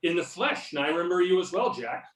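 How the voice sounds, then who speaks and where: A man's voice: sits high, big pitch swings, fast talker, fairly bright tone. A man acting a wry, easygoing federal agent in an amateur readers theater over a video call. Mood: friendly, probing. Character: wry male FBI agent back in his hometown. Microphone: videoconference or conference call. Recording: roomy, distant videoconference mic.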